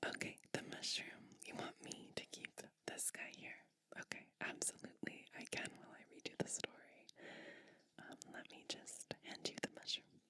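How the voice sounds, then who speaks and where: A woman whispering gently and attentively as a kindly witch in an ASMR bedtime roleplay, soothing the listener. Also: normal speed, no room sound. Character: kindly witch preparing a guest for bed.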